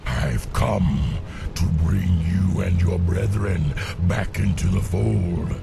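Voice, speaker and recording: An actor voicing a colossal ancient demon lord in a game cinematic, domineering and contemptuous, with a booming growl and grandiose menace. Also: male voice, gravelly, exaggerated voice. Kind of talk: video game cinematic acting.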